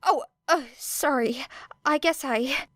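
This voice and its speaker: A woman's voice: sits high, slow, a bit bright, dry recording, clean studio sound, voice pushed up. A woman voicing a nervous teenage boy who rambles and apologizes while trying to be friendly. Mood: flustered. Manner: eager, apologetic rambling, tripping over himself. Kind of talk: audiobook character voice.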